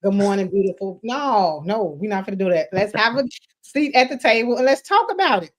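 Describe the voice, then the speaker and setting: A woman's voice: medium pitch, animated, brisk. A woman hosting a relationship podcast, asking questions and making emphatic points in casual chat. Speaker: podcast host.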